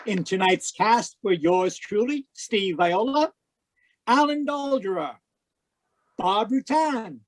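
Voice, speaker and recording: A man's voice: very high voice, dry recording, low-quality recording. A man announcing in the style of a 1940s radio show host, giving a scripted sign-off. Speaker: announcer and cast member. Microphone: video call.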